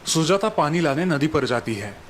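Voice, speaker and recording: A man's voice: fairly high pitch, normal speed, slight background noise. A man narrating a village moral story in a scripted storytelling style. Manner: even, explanatory narration.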